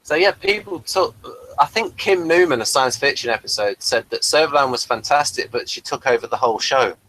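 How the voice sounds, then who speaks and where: A man's voice: mid-range voice, fairly quick, bright, forceful tone, poor audio. A man giving casual, explanatory commentary on a TV episode, sharing background details as he goes. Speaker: host of a TV episode review commentary.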